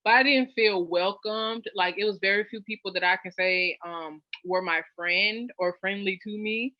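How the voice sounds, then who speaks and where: A young woman from New Orleans chatting as an interview guest, with lots of fillers and lively jumps in pitch. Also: moderate pace, no room sound. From New Orleans, US South. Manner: chatty, many fillers, animated rises in pitch. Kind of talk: casual interview chat. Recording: dull lo-fi dry sound.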